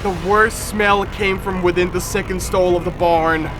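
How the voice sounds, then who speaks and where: Man, high voice, streamer, dramatic horror reaction commentary, repetitive phrasing, music behind. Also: steady tone, measured pace. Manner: repeats phrases over and over, dramatic. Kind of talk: live reaction commentary, reading on-screen text aloud.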